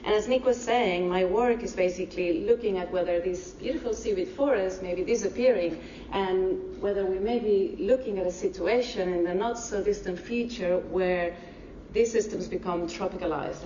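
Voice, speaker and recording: A woman from Barcelona with a Spanish accent giving a public science lecture, speaking slowly and carefully. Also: lowish voice, dark tone, a little hiss or hum. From Spain. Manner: deliberately slow, careful explanations. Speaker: marine ecology lecturer. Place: university lecture hall. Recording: echoey lecture hall, distant dull mic.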